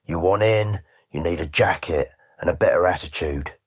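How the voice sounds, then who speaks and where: A man plays a seedy nightclub manager for a radio crime drama. His voice is deep and husky, slangy and defensive, recorded very dry. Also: normal speed, no room sound. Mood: defiant. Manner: slangy, cheeky.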